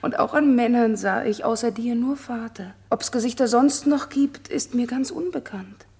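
An actress playing an innocent, lovestruck young maiden in a German radio drama, soft and earnest with tender emotion. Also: female voice, on the high side, normal speed, gravelly, slightly rough, heavily acted. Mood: shy, wondering.

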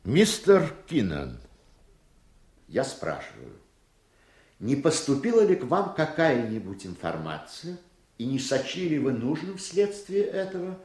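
A man's voice: medium pitch, darkish tone. An actor playing a stern, irritable male judge in a radio play, speaking formally and with authority. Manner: formal, authoritative courtroom delivery. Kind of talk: Soviet radio play acting. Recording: roomy, echoing radio drama recording.

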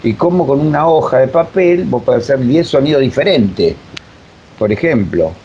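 An Argentine man with a dark, mellow voice explains things in a halting, repetitive way. He sounds like an interview guest talking off the cuff. The audio is dull, with audible noise behind him. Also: mid-range voice, expressive. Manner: halting, repeats words, filler-laden. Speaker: interview guest, radio drama director. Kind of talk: spontaneous explanatory interview talk.

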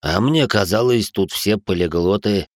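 A man voicing a gruff, suspicious American cop of about forty who talks bluntly and gives orders. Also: on the low side, a little slow, no echo, studio quality, close to an everyday voice. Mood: mocking. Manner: blunt, rude, commanding.

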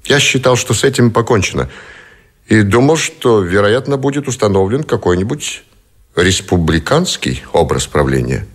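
A dignified naval officer in a radio play. His voice is low and his delivery is deliberate and formal. He sounds reserved, choosing each phrase with measured care. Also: sing-song. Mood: formal, composed. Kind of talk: Russian radio drama acting.

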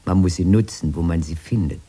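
A man's voice: low-pitched, brisk, warm tone, husky. An actor in a radio drama playing a wry, plaintive grown man who recounts a harsh childhood with ironic earnestness. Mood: wry, resigned.

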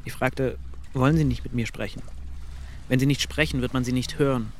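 An actor playing an exasperated man recounting an encounter in a radio drama, terse and clipped, with a creaky voice. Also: mid-range voice, brisk, natural voice. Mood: agitated recounting. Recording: clear background ambience noise.

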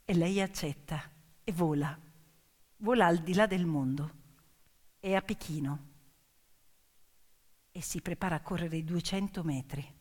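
A woman performing a theatrical narrative monologue as a stage storyteller, in deliberate, dramatic short phrases. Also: lowish voice. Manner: dramatic, deliberate short phrases, swinging from whispers to loud cries. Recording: long hall reverb, piano music behind. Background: piano music.

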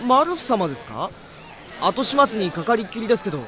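High-voiced, eager young mage. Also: male voice, sing-song, brisk, a bit bright, a faint crowd. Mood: admiring. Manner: earnest, polite, emphatic. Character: eager, devoted boyish young male mage. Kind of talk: Japanese radio drama acting.

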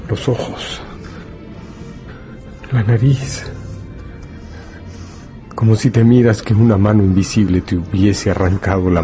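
An actor narrating a literary radio drama as a solemn, brooding, deliberate storyteller. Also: male voice, low-pitched.

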